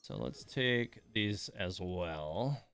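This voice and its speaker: A man's voice: sits low, animated, very slow, a bit bright, smooth, faint music behind. A man giving casual live commentary as he plays a game, muttering and grumbling his reactions. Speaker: game streamer.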